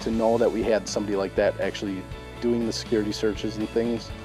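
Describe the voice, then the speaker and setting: A man's voice: lowish voice, little pitch movement, fast, music in the background. A man giving unscripted answers in a news interview. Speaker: interviewee, whistleblower.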